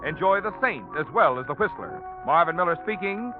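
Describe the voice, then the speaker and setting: A male 1940s radio announcer with a fairly high, very animated voice. He delivers copy in a polished, emphatic salesman's style, with music behind on a dull old recording. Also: brisk.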